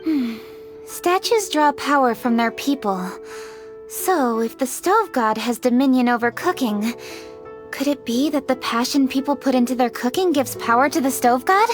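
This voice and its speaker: An actress playing a diligent, businesslike young woman official in a video game, articulate, earnest and matter-of-fact. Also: high-pitched. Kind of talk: scripted video game dialogue.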